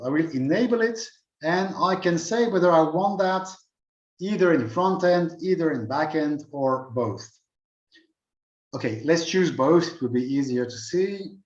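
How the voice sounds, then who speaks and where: A man from Belgium walking through a software demo at a user group meeting, unhurried and thinking aloud with many fillers. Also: a little high, roomy sound, highs cut off. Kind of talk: screen-share software demo.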